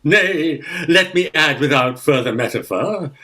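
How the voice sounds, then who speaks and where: Guy doing pompous old uncle in a radio farce, flowery speech, slow, very up and down. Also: fairly high pitch, expressive, bright, forceful tone. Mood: pompous delight. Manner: pompous, florid, orotund. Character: pompous, gushing older guardian uncle, quick to outrage. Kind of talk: theatrical radio farce acting.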